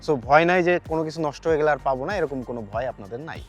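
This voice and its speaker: Fast, higher-pitched Bangladeshi man presenting products. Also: animated, soft background music. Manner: addresses viewers directly, mixes in English words. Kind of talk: promotional product overview to camera.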